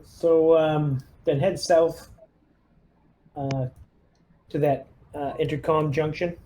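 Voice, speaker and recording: A man with a slightly high voice plays squad leader in a tabletop game. He speaks casually and quite slowly. There is some noise in the recording. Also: level tone, mellow, low-quality recording.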